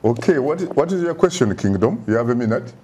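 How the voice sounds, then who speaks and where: A man's voice: mid-range voice, animated, fast talker, background noise. A man hosting a live phone-in talk show, courtly and effusive in his phrasing.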